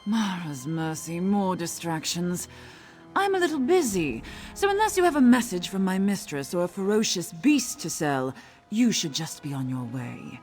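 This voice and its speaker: Lowish, sneering, haughty snob for game dialogue. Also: female voice, very animated, darkish tone, faint music behind. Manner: sarcastic, condescending, brisk. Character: snobbish haughty woman event organizer.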